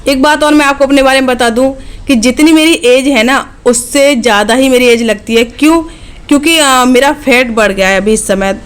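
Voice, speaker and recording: A woman's voice: on the high side, clear, resonant tone. A woman in her late twenties, a vlogger chatting directly to her viewers in a casual, frank way, often checking in with "okay". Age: late 20s.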